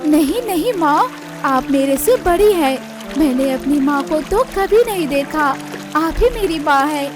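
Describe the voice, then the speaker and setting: A performer plays a meek, tearful young bride bird in a cartoon, with a very high voice. She speaks politely and pleadingly at an unhurried pace, with music behind her. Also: expressive. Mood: gentle, forgiving. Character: meek tearful young orphan daughter-in-law bird, female, forgiving.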